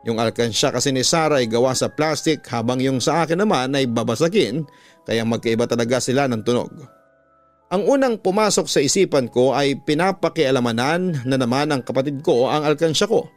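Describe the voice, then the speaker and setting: A man's voice: mid-range voice, a little fast. A man with a Filipino accent narrating a scripted story in a dramatic radio storytelling style. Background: background music.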